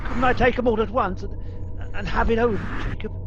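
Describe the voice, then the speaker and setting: A man's voice: high-pitched, steady tone, a little fast, fairly bright tone, muffled recording, slight background noise. A man playing a stubborn, scoffing and fearful elderly miser in a radio play, stammering and repeating words in agitation. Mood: reluctant, bargaining.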